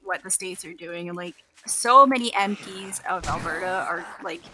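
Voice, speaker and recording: A woman's voice: lowish voice, moderate pace, sharp tone, slight background noise, rough recording. A woman in casual conversation while playing a video game, very informal, dramatically acting out her own reactions. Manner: informal, frequent "like", quotes herself dramatically. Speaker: voice actor being interviewed.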